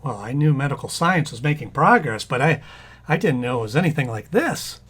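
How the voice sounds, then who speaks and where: A flustered radio-comedy husband acted by a man, stammering and repeating himself with boyish eagerness. The voice is rather husky and swings widely in pitch. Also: lively intonation, brisk, a bit bright. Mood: startled, flustered. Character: flustered, excitable husband and eager expectant father. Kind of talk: old-time radio comedy acting.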